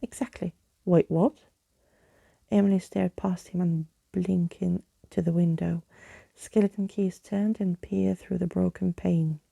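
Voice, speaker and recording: A woman softly narrating a storybook in an ASMR style, close to the microphone. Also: on the low side, level tone, unhurried, gravelly, husky, dry recording. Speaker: ASMR reader.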